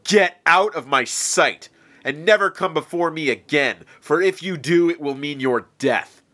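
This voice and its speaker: A man voicing an enraged, arrogant Egyptian king who sneers and shouts. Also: a little slow. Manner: sneering, shouting, high-pitched outbursts.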